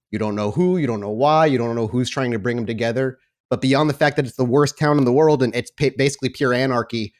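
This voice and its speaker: A man narrating a tabletop roleplaying game as game master, explaining in a measured way. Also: mid-range voice.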